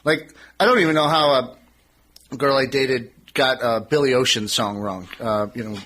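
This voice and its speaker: A man's voice: medium pitch, normal speed, fairly bright tone. An American man bantering casually and asking questions on a radio talk show.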